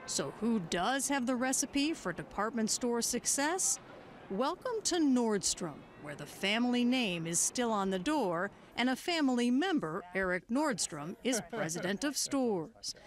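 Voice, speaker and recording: A woman's voice: a little high, big pitch swings, a little slow, slight background noise. An American woman working as a news correspondent, reading scripted broadcast narration and putting questions in interviews.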